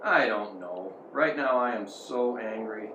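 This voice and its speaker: A man's voice: lowish voice, unhurried, close to an everyday voice. A man playing a repentant but brooding Hebrew prophet in an amateur audio drama. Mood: bitter, sullen. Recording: roomy, distant, noisy amateur recording.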